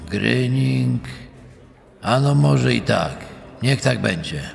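A man's voice: mid-range voice, creaky, full character voice. An actor playing an apathetic, evasive middle-aged man accused of murder, answering with hesitant resignation in a courtroom re-enactment. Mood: sullen, resigned. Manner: slow, hesitant, flat resignation. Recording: echoing hall reverb.

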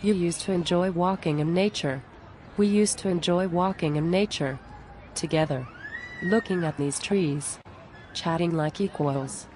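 A woman performs a plain-spoken cartoon woman at the end of her patience. She pleads in measured, reproachful phrases with a slightly low voice. A faint layer of noise sits behind her. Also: a little mellow, lightly acted, rough recording. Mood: disappointed, resigned. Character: exasperated, plain-spoken woman pleading with an arrogant spiritual friend. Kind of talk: scripted animated dialogue.

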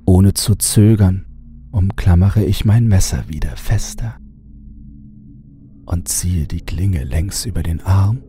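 A man narrating a horror audiobook in a deliberate, theatrical way, at times dropping to a hushed tone. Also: low voice, slight background noise. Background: music under the voice.

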